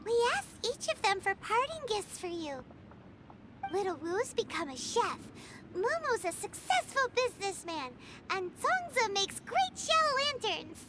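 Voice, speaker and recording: Tiny squeaky fairy companion. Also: female voice, big pitch swings, measured pace, heavy background noise, full character voice. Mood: curious, earnest. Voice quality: squeaky, childlike. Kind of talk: video game voice acting. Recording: loud music behind voice. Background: game music.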